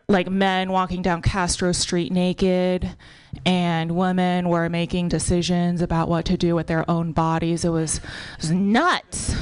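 Lowish-voiced female stand-up comic from Texas. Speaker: stand-up comedian. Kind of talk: casual stand-up comedy set.

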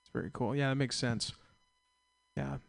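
A man's voice: medium pitch, steady tone, moderate pace, gravelly. A man hosting a radio show, chatting casually in a rambling, easygoing way with lots of fillers. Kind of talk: casual chat. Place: radio studio.

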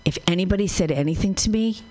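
A woman's voice: medium pitch, fast, mellow. A woman chatting casually on a radio show, telling personal stories in a frank, feisty way. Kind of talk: casual chat.

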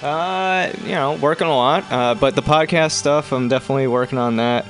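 A man guesting on a talk radio show, rambling and halting, with crude jokes. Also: medium pitch, soft background music. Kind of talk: casual radio chat.

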